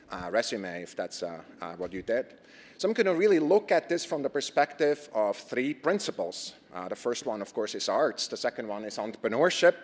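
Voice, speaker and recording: A man with a Swiss accent giving an earnest conference talk, posing rhetorical questions and pausing on fillers. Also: on the low side. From Switzerland. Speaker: conference presenter. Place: conference stage. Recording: reverberant lecture hall.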